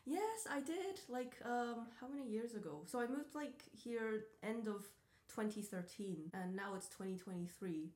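A woman's voice: on the high side, unhurried, a little mellow. Sarcastic woman in echoey room. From Ireland. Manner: sarcastic, swears a lot. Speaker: podcast co-host. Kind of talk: casual podcast chat. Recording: echoey room, audible noise.

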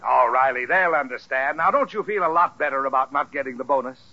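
An actor playing a hearty, wily, condescending company boss in an old radio sitcom, pompous, theatrical and jovially persuasive. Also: male voice, medium pitch, fast, bright, forceful tone. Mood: genial, condescending. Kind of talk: 1940s radio sitcom acting. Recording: muffled vintage radio sitcom recording.

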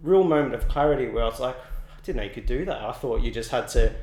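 A man's voice: medium pitch, animated, fast, darkish tone. A young Australian man, an artist, chatting in an interview in a loose, rambling way full of "like" and restarts. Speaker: artist guest. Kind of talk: casual interview. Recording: roomy echo.

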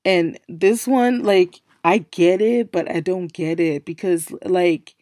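A young woman hosting a casual solo podcast, her voice still low and worn from recently losing it, chatty and emphatic, circling back to repeat her points. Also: takes it slowly, darkish tone, dry recording, clean studio sound. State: recovering from a lost voice, speaking low. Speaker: podcast host.

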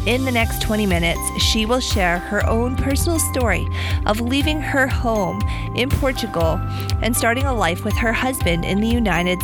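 A woman hosts a coaching podcast in a smooth, upbeat manner, reading her introduction as if from a script. Her voice sits at an ordinary middle pitch, and music plays clearly beneath it. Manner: smooth, upbeat, read-aloud delivery.

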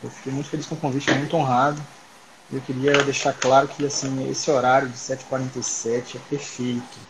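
A man, chatting as a guest surgeon in a relaxed interview over a video call. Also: mid-range voice, fairly quick. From Salvador, Bahia, Brazil. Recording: noisy home video call audio.

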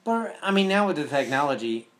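A man co-hosting a podcast, talking casually and agreeably with short replies and excited asides. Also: a little high, brisk. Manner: relaxed, agreeable, short interjections. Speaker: podcast co-host. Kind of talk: casual chat.

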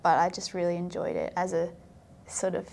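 A woman's voice: fairly low pitch, flat delivery, normal speed, vocal fry, a little mellow. A young woman from South Africa, chatting casually as a podcast guest and filling her talk with "like". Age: young adult. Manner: chatty, filler-heavy, frequent "like". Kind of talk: casual interview chat.

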